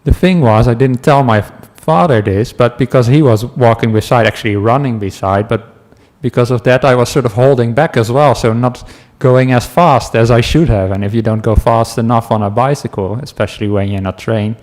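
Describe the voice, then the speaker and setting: A man from the Netherlands with a Dutch accent, a meditation teacher giving a relaxed talk to a class, telling stories with asides and small laughs. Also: mid-range voice, moderate pace, few pauses. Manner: gentle, chatty storytelling with asides and small laughs.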